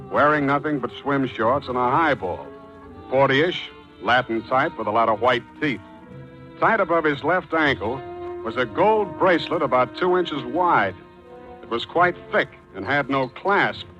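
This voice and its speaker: An actor playing a wry, clipped, world-weary private detective in the style of 1940s radio drama. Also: male voice, mid-range voice, pushed and bright, dull audio.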